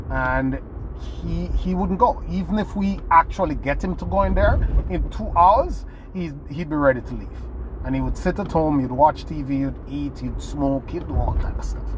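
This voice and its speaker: A man's voice: a little high, muffled recording, a little hiss or hum. A man from Jamaica, giving an earnest motivational talk as a podcast host, restarting phrases and leaning hard on key words. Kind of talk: motivational monologue.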